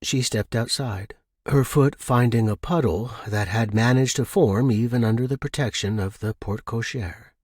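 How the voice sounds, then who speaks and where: A man's voice: mid-range voice, normal speed, darkish tone, husky, no room sound, studio quality. A man hosting a story podcast and reading scripted narration. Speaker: podcast host and story reader.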